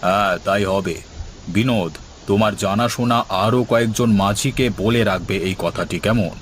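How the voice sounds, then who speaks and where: A man's voice: on the low side, normal speed, a little hiss or hum, voice pushed down, lightly acted. A man voicing an anxious, pious, kindly old village headman in cartoon dialogue, speaking in a grave, fatherly way. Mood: grave, encouraging.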